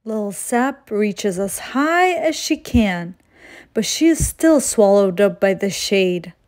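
A woman reading a children's picture book aloud in a measured, deliberate storytelling manner. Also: mid-range voice, animated, a little mellow. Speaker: children's storytime reader and host.

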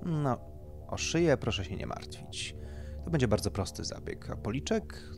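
A man's voice: mid-range voice, big pitch swings, moderate pace, vocal fry, music in the background, voice pushed up. A man voicing a polite, tactful male doctor who explains procedures clinically in an audiobook. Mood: reassuring. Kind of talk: audiobook character dialogue.

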